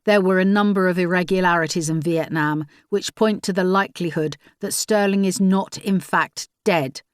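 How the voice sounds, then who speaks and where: A woman's voice: lowish voice, moderate pace, no room sound, clean studio sound, natural voice. A woman voicing an insistent cool investigator. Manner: insistent, clipped. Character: determined, cool female investigator.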